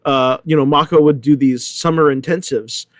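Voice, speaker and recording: A middle-aged man talking casually in a panel discussion, telling anecdotes in a halting, rambling way. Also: a little high, steady tone, dry recording, clean studio sound. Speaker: actor, panelist.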